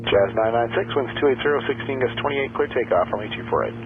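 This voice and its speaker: A male air traffic controller issuing clipped procedural clearances over aircraft radio. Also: mid-range voice, fast. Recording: tinny, very noisy aviation radio. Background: aircraft hum and radio noise.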